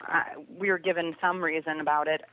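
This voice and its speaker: A woman's voice: low voice, steady tone, fairly quick, phone-quality sound, a little hiss or hum. A woman giving casual, opinionated commentary as a podcast guest, heard over a remote call connection. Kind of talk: casual opinionated panel chat. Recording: thin band-limited phone or radio-like line.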